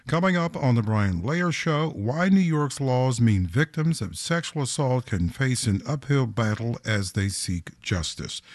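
A man's voice: medium pitch, darkish tone, dry recording, clean studio sound. A man announcing upcoming shows and the weather on local radio in an upbeat way. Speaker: station announcer.

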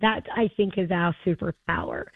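A woman's voice: fairly low pitch, moderate pace, breathy edge, no echo, phone-quality sound. A middle-aged woman with an Australian accent, speaking enthusiastically and effusively as a guest in a conversational interview. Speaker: interview guest, arts festival co-founder.

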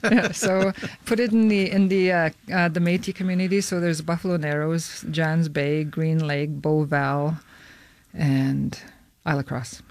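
A woman from northern Saskatchewan who grew up speaking Michif, talking about her film in a studio interview and pausing often with ums and uhs. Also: low-pitched, fairly even intonation, a little mellow, dry recording. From northern Saskatchewan, Canada. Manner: hesitant, many ums and uhs. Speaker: filmmaker guest. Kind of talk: casual interview answers. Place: radio studio.

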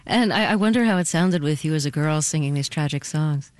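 A woman with an American accent asking questions in a polite, articulate, measured interviewer's manner. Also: low-pitched, expressive, a little mellow, no room sound. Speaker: radio interviewer.

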